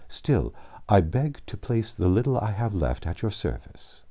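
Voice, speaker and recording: A man voicing a calm, impassive, formal gentleman in an audiobook of a classic novel, polite and restrained. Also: lowish voice, a little slow, full character voice.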